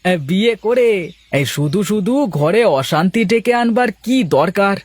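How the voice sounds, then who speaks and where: A man acts out an ageing village bachelor for a folk-tale cartoon. He is self-important and excitable, high in pitch with wide, animated swings. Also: normal speed, no room sound. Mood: dismissive.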